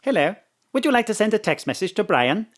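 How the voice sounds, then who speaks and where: Actor as robot car investigator, polite, literal-minded, formal, clipped phrases, animated pitch, audio drama. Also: fast talker, natural voice. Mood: flat, informative.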